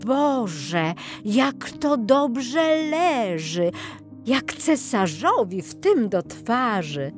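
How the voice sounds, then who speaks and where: Woman doing a sly flattering tailor from a fairy tale, gushy, really drags the words out. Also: fairly high pitch, music in the background, pitched above the performer's own voice, full character voice. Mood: gushing. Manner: gushing, drawn-out flattery. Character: fawning swindler tailors, men, flattering the emperor.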